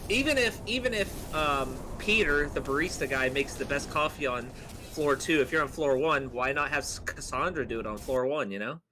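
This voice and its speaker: A man's voice: fairly high pitch, normal speed, pushed and bright, slight background noise. A man chatting casually with friends as a co-host of a gaming show. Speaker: video game show co-host. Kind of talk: casual chat.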